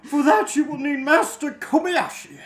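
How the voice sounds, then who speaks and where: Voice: sits high, normal speed, echoey room, natural voice. An amateur reader playing an earnest fantasy mentor with an emphatic delivery, in a reader's theater reading. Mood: encouraging. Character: Noblin, earnest mentor sending a young hero off.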